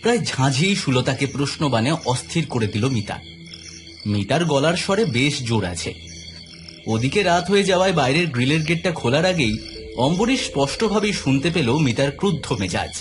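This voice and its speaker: A man's voice: on the high side, sing-song, brisk. A man reading scripted narration for a dramatic horror audio story. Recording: background music under the voice.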